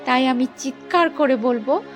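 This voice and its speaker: A woman's voice: sits high, moderate pace, faint music behind, natural voice. A woman playing a wronged, grieving young mother in a cartoon moral story, declaiming with righteous defiance. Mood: defiant accusation. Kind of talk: dramatic animated moral story acting.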